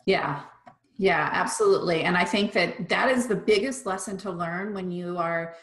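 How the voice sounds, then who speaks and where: A woman's voice: lowish voice, moderate pace, fairly bright tone. A woman interviewer, agreeing with her guest and encouraging her in casual talk. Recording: echoey room.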